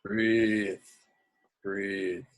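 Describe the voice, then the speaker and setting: Guy reading as grave alien superhero healer, lowish voice, slow calm orders, table read. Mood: calm, urgent.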